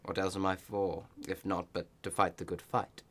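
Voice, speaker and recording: An actor in a radio play playing a loyal village reverend, with a measured, eloquent and wry manner. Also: male voice, low-pitched, little pitch movement. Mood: solemn warning. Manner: measured, eloquent, gently sly.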